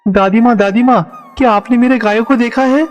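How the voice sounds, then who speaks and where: A man's voice: very high voice, fairly even intonation, a little fast. An actor playing a meek, devout and naive village milkman, speaking politely and earnestly in a cartoon story. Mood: worried. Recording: long reverb, music behind.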